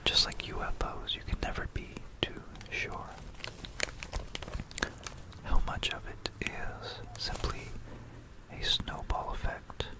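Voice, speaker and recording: A breathy whisper from an ASMR host, very slow and deliberate. The storyteller pauses often and softly repeats phrases while walking through history, close on a binaural mic. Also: poor audio. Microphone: close stereo binaural microphone.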